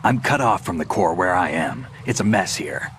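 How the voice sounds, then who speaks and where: A battle-hardened game soldier, with a very low, gruff voice. He gives tactical information tersely and flatly, over clearly audible background noise. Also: normal speed. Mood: terse, businesslike. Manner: terse, matter-of-fact. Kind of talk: video game cutscene acting.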